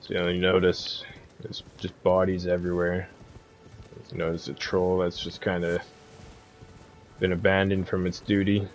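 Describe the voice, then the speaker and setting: A man giving a casual, relaxed video game walkthrough as the host of a guide, in informal language. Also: low-pitched, moderate pace, long pauses, faint music behind. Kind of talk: casual gameplay walkthrough commentary.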